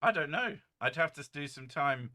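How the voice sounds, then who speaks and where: A man with a deep, boomy voice hosting a casual stream, joking and rambling with a few curses, his mic set well above his head. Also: animated, normal speed, sharp tone. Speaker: streamer host. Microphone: desk mic set well above his head.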